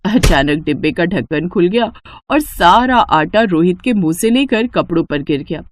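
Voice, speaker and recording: A woman's voice: on the low side, expressive, moderate pace. A woman reading a scripted story as narrator.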